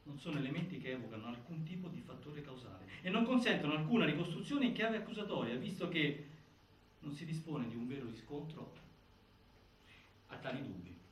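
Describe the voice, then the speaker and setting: A man performing as a solemn theatre narrator, reciting a case file in formal, deliberate, declamatory phrases. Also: on the high side, lively intonation, lightly acted. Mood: grave, insistent. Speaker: narrator in a stage inquiry play. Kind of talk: scripted theatrical documentary narration. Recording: echoey, distant, faint noise.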